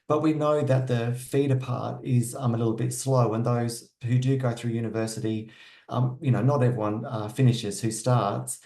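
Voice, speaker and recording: Aussie guy talking on a panel, gravelly low-key voice, lots of ums, roomy echo. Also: mellow. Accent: Australian. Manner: measured, hesitant with many fillers. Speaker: aged care provider executive, panelist. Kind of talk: online panel discussion.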